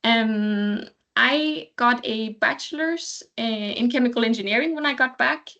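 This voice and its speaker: A woman's voice: fairly high pitch, a little slow, bright, forceful tone, dull audio. Cheerful Swedish-born woman in her thirties. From Sweden. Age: 30s. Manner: cheerful, laughs lightly between sentences. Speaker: alumni speaker. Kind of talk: casual personal update on a video call. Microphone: video call.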